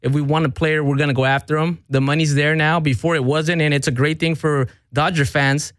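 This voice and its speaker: Teasing sports radio host, mid-high voice. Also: male voice, dry recording, studio quality. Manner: lively and teasing, quick to interrupt. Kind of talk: casual sports talk.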